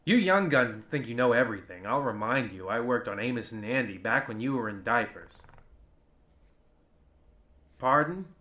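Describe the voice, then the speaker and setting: A man playing a pompous, crotchety old narrator of a radio horror show, with a theatrical, drawn-out delivery. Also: mid-range voice, animated, sharp tone. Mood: defensive, grumbling.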